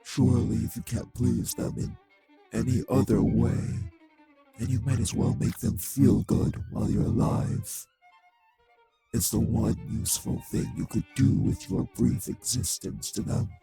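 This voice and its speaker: A man playing a cruel, taunting male vampire in an audio drama, with a husky, gravelly voice and a slow, mockingly polite manner. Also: mid-range voice, wildly swooping pitch, mellow, faint music behind, exaggerated voice. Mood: smug taunting. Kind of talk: scripted audio roleplay drama.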